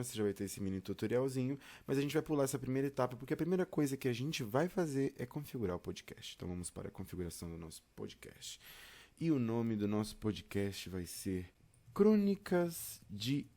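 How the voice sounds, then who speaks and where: Mellow Brazilian man giving a tutorial. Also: mid-range voice. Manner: chatty, encouraging, addresses learners directly. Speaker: video lesson instructor on podcasting. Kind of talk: informal step-by-step tutorial.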